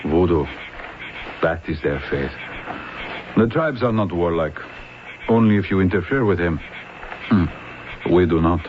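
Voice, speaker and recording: A low-pitched, commanding police lieutenant with a French accent. He speaks in formal, deliberate phrases and presses persistently, in the manner of old radio drama. The recording is muffled and a little noisy. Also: expressive. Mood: measured, explaining. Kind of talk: vintage radio drama acting.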